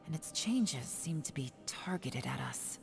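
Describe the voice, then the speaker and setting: A woman's voice: sits low, lively intonation, measured pace, gravelly, heavily acted. A woman voicing a cool, shrewd secret operative in a video game, composed, sly and confident. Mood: serious.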